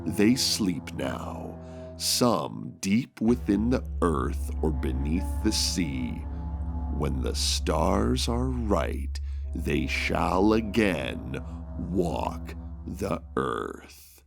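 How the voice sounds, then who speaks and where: A man narrating a horror tabletop show in a dramatic, deliberate manner. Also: low voice, big pitch swings, creaky, over music. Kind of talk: scripted horror narration and game talk.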